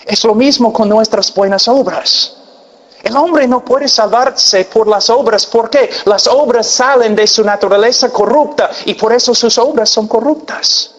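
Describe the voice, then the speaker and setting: A man with an American accent preaches in a large church hall, his voice ringing with long reverb. He talks slowly and deliberately, his fairly high voice pushing to emphatic peaks, then dropping to hushed, intense near-whispers. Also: muffled recording. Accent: American, with Peruvian Spanish learned late. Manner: earnest, emphatic, long pauses, drops to quiet intensity. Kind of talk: sermon.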